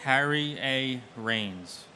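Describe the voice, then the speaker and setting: A man reads names of victims aloud at a memorial ceremony in a steady, solemn way. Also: medium pitch, drawn-out words, slight background noise. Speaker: name reader. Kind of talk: memorial ceremony name reading.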